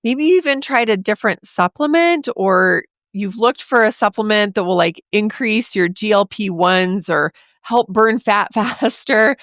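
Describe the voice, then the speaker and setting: A woman in her forties hosting a podcast, talking straight to the listener in a chatty, encouraging way, her voice a little raspy from a sore throat. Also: medium pitch, measured pace, hardly any pauses, a bit bright, no echo, studio quality. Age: 40s. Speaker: podcast host. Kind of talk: casual podcast monologue.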